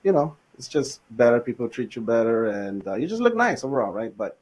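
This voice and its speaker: A man in his thirties from Los Angeles, a podcast guest telling his story in a relaxed, casual way with plenty of fillers. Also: mid-range voice, brisk, breathy edge. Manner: relaxed, laid-back, frequent fillers. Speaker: podcast guest, business owner.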